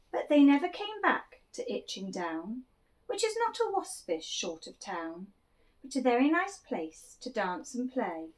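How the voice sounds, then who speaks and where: A woman reading a rhyming children's picture book aloud, expressively and with deliberate dramatic emphasis. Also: fairly high pitch, a bit bright, rough recording. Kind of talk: animated children's story reading, rhyming verse.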